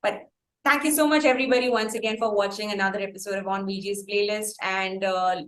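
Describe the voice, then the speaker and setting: A woman with an Indian accent hosting a casual interview, gushing and halting as she repeats her phrases. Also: medium pitch, fairly even intonation, a little hiss or hum. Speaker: interview show host. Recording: roomy, echoing sound.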